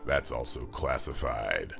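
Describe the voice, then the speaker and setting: A man's voice: very deep voice, expressive, unhurried, gravelly, fairly bright tone, faint music behind, voice pushed down, full character voice. A man voicing a stoic, smug captive military operative in an audiobook, speaking in a dry, terse manner.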